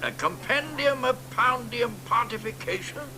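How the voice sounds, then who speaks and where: An actor playing a boastful, crude old American poet in a radio drama, drawling and dropping into hoarse whispers. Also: male voice, very high-pitched, lively intonation, measured pace, background noise, heavily acted. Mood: mocking.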